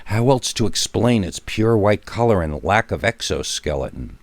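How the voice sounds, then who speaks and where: A man's voice: lowish voice, lively intonation, moderate pace, no echo. A man narrating a first-person scary story in a dramatic but conversational way, with wry asides to the listener.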